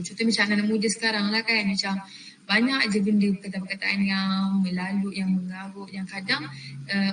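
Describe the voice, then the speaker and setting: A woman's voice: medium pitch, a little hiss or hum. A young Malaysian woman giving a casual class presentation, chatty and addressing her listeners directly. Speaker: trainee presenter. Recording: echoey room, from far off.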